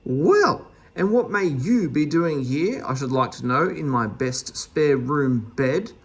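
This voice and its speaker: A man voicing a big, bossy storybook housewife, angry and astonished, scolding in sharp exclamations. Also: on the high side, animated, slow, slightly rough, exaggerated voice. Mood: outraged.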